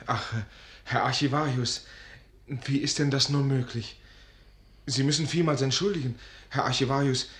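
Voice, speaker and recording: An actor playing a timid, flustered young student in love in a radio play, speaking deferentially and hesitantly. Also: male voice, mid-range voice, fairly even intonation, moderate pace, pauses often, fairly bright tone, reverberant, lightly acted. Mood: flustered, apologetic. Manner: deferential, hesitant, stammering rephrasing.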